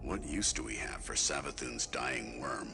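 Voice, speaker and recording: An actor playing a grim, battle-hardened warrior in a video game, gravelly, terse and stern. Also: male voice, rumbling low, unhurried, music in the background, full character voice. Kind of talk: video game cinematic acting.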